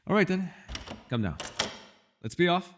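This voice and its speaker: A man's voice: high-pitched, sing-song, a little slow, slight background noise. A man playing a mysterious elf mage in a fantasy ASMR roleplay, a patient mentor who muses wryly in formal, old-fashioned phrasing. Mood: genial, wry. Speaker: voice actor.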